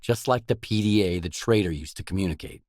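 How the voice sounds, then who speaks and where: Low-voiced, terse male mercenary played by an actor. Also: a little fast, darkish tone, no echo, clean studio sound, close to an everyday voice. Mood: grim. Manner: terse, matter-of-fact. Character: gruff, guarded male mercenary stalker, weary and blunt. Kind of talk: video game dialogue lines.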